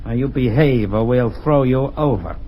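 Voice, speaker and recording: A man's voice: medium pitch, normal speed, a little hiss or hum, close to an everyday voice. A man playing a curt, commanding kidnapper gang boss in an old radio drama. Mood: menacing. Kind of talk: 1940s radio acting. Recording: old dull lo-fi radio recording.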